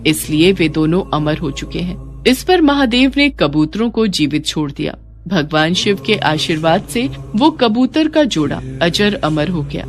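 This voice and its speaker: A woman narrating a scripted devotional tale as a storyteller. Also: mid-range voice. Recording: faint music bed.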